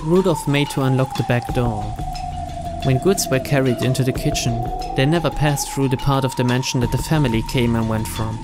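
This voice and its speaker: A man's voice: medium pitch, fairly quick. Man narrating a visual novel. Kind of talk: reading game text aloud. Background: game music.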